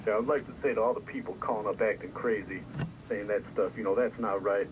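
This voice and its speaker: A man's voice: on the low side, fairly even intonation, quick pace. A man prank calling a live show over the phone, casual and feigning sincerity. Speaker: prank caller. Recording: noisy phone line on old TV tape.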